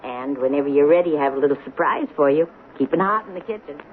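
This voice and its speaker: An actress playing a serene, shrewd, motherly woman in her eighties in a radio drama, knowing and gently teasing. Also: sits low, expressive, fast talker. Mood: tender, hospitable. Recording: muffled narrow-band radio broadcast, noisy.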